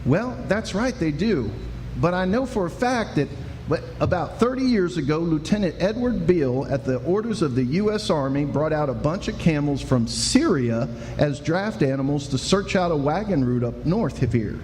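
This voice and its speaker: A man acts out a folksy frontier sheriff in a Western radio play. His voice sits a little high and explains things in a plain, homespun way. It rings in a reverberant hall with faint noise behind it. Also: expressive, moderate pace, darkish tone, close to an everyday voice. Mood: folksy, explaining. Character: folksy male sheriff of the Old West. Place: live performance in a reverberant room.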